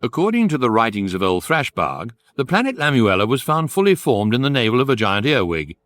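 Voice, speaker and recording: An actor playing a wry, erudite guidebook narrator in a sci-fi radio comedy. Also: male voice, mid-range voice, fairly quick, dry recording. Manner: wry, deadpan irony.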